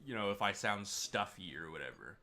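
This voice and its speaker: A man streaming a game, chatty and excitable, sounding a bit stuffy from a cold. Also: on the low side, fairly quick. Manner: chatty, excitable over wins. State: stuffy, slightly sick. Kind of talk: gameplay commentary.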